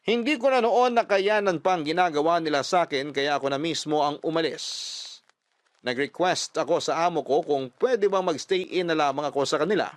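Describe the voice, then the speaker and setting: A man's voice: on the high side, brisk, no echo. A man reading a scripted first-person story as a radio-style storyteller.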